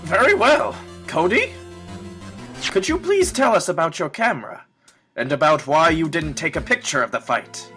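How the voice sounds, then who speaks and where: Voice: medium pitch, very animated, moderate pace, fairly bright tone, faint music behind. An actor playing a stern, easily startled elderly male judge in a fan-dubbed courtroom drama, speaking in a formal, pompous way. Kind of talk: fan dub courtroom game acting.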